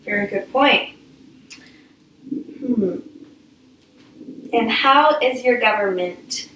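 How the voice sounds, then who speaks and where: Slow-paced woman hosting, distant and roomy. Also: on the high side, animated, fairly bright tone, a little hiss or hum. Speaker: talk show host. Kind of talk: casual interview in a homemade talk show.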